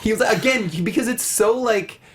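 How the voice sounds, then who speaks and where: A man's voice: high voice, moderate pace, heavy background noise. A man co-hosting a casual discussion show, telling anecdotes in a chatty, enthusiastic way. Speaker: talk show co-host. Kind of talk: casual video game and film discussion.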